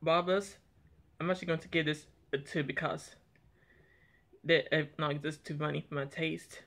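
A young man talking plainly and a little haltingly as he reviews eggnog on a home vlog, filmed by a camera on the table in a small room. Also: fairly high pitch, steady tone, fairly bright tone. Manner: plain, unhurried, slightly halting talk. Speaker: product reviewer. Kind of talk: casual taste-test vlog. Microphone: camera on table in front.

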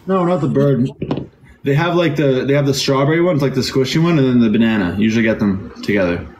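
A man's voice: medium pitch, fast, darkish tone, a little hiss or hum, poor audio. A young man hosting a casual live chat game, teasing and playful and loose with his swearing, speaking into a phone camera in a bedroom. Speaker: livestream host. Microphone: phone camera on a live stream.

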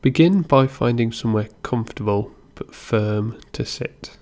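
A man gently guiding a meditation as an instructor, in an unhurried way with pauses between instructions. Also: medium pitch. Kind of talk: guided body scan meditation narration.

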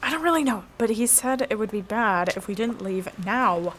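A woman's voice: medium pitch, expressive, normal speed, a bit bright, natural voice. A young American woman playing a blunt, sceptical college student in an audio drama, brusque and slangy. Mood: uneasy. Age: young adult. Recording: faint background noise.

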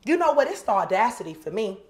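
A woman's voice: on the high side, very animated, quick pace, a little mellow. An actress playing an exasperated young girlfriend in a naturalistic comedy skit, with an American accent. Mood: furious. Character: exasperated, fed-up young woman arguing with her boyfriend. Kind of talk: comedy skit acting, naturalistic couple banter.

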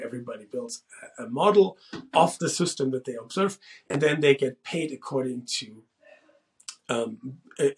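A man's voice: medium pitch, creaky. A man in his forties, the host, talking thoughtfully to camera from a small room, often restarting his sentences. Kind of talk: casual monologue to camera.

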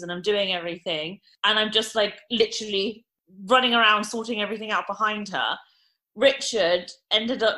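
Bright-voiced woman telling a lively story. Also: mid-range voice. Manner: animated anecdote-telling with many fillers. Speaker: restaurant owner guest. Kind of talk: podcast interview storytelling.

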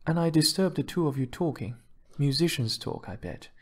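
A man acting a glamorous, wealthy wife in an audiobook. Her pitch is raised and swoops about. She gushes, bristles easily and sprinkles her talk with pet names. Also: animated, normal speed. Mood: breezy, charming. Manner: gushing, defensive, pet names for husband. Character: wealthy glamorous wife, breezy, touchy, charming woman.

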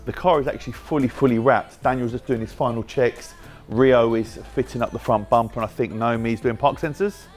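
A man's voice: medium pitch, steady tone, fairly quick, faint music behind. Chatty British man touring a workshop. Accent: British English. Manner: chatty, jokey, talks to staff on the go. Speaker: car wrap shop owner and host. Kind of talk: casual workshop walkaround vlog. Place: large bright indoor car workshop.

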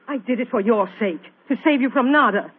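A woman playing a jealous, accusing young niece in a 1940s radio drama, with a sharp manner. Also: on the high side, brisk, husky, phone-quality sound. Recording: thin old radio broadcast.

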